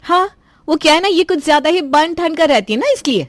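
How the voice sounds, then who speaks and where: Woman putting on a high, meddling young sister-in-law. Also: expressive, a little fast, no echo. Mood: protesting. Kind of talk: Hindi cartoon voice acting.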